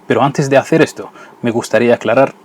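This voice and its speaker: Host guy reading his script slowly and clearly, spanish not his first language. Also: medium pitch, steady tone, over music. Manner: measured and didactic. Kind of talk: scripted religious narration.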